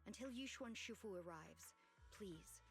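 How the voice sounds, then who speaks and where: An actress voicing a caring, protective young female martial disciple in an anime game, gentle, earnest and reassuring. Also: mid-range voice, animated, measured pace, faint music behind, natural voice.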